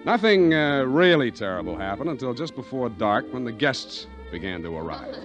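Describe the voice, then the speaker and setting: An actor playing a wisecracking hard-boiled private detective in 1940s radio drama, dry and unflappable. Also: male voice, medium pitch, very animated, moderate pace, music in the background, lightly acted. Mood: dry narration.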